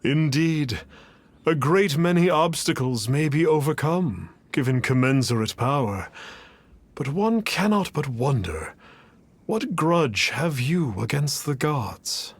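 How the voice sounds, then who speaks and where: An actor playing a pompous self-styled mystic master with grandiose, archaic phrasing in a video game. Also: male voice, a little high, lively intonation, takes it slowly, husky, heavily acted. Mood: solemn, grandiose. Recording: music playing behind.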